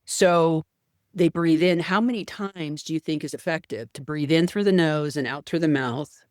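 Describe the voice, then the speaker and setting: A woman hosting a podcast, reading a scripted introduction and then interviewing her guest with a soft, deliberate delivery. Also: low voice, no echo, studio quality. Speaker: podcast host.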